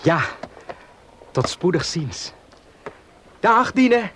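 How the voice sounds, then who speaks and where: A man's voice: sits high, sing-song, very slow, a bit bright, heavily acted. An actor playing a reassuring young man in love in a Dutch radio play. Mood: reassuring, fond. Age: young adult. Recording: old radio play, faint noise.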